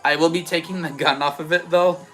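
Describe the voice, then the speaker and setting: A young man with an Australian accent softened by American, chatting casually and rambling a little as he hosts a livestream and answers comments. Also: fairly high pitch, steady tone, a little fast, poor audio. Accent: Australian with some American. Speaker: livestream host. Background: background music.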